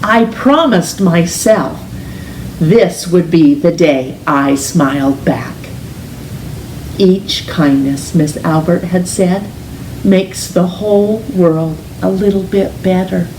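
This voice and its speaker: A woman reading a children's picture book aloud in an expressive, gentle, deliberate storytelling manner, with drawn-out emphasis on words. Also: lowish voice. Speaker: storytime reader, teacher. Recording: roomy, distant mic with faint hiss.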